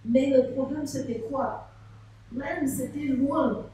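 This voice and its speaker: Woman pastor, slow sermon, higher voice, echoey hall from far off. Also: slight background noise. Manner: animated storytelling with rhetorical questions. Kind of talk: sermon at a seminar. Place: large echoey church hall.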